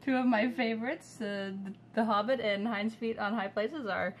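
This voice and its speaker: A woman's voice: on the high side, normal speed, a little hiss or hum. Chatty woman narrating a home task. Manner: relaxed, chatty, explains each step as she goes. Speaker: homesteading vlogger. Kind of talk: casual narration while working. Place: home kitchen indoors.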